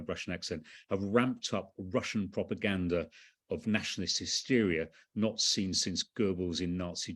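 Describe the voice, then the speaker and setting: A man's voice: deep voice, slightly rough. A man moderating a panel on a video call, politely reading out audience questions. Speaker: moderator, college master.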